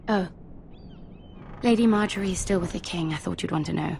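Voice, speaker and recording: A woman's voice: lowish voice, fairly quick, vocal fry, a little mellow, slight background noise. A woman voicing a sympathetic, eager young handmaiden in a video game drama, earnest and polite.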